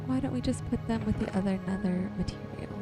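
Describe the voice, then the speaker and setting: A soft-spoken woman giving unhurried ASMR gameplay commentary, musing to herself and her viewers. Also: medium pitch. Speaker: gaming streamer and host. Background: music and rain sounds.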